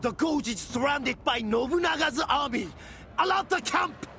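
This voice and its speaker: A man's voice: extremely high pitch, animated, normal speed, sharp tone, a little hiss or hum, exaggerated voice. A man playing a fierce, urgent samurai battle herald in a game cutscene, declaiming in exclamations over music. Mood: alarmed, rousing. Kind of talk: dramatic game cutscene acting. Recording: music behind the voice. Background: cinematic music.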